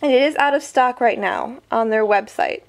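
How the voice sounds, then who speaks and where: A woman's voice: on the high side, lively intonation, moderate pace, vocal fry. A woman vlogger chatting casually through an unboxing review, her voice a little weak from a summer cold, full of ums and likes. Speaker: beauty vlogger.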